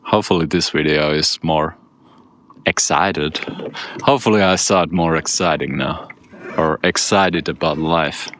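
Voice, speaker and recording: A Finnish man talks in a dry, self-mocking monotone and chuckles between remarks. His voice is very low and slow. His tone is bright and forceful, though his delivery stays flat. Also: slight background noise. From Finland. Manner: dry, self-deprecating, laughs often. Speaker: vlogger. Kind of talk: casual vlog chat. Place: outdoors on a boat.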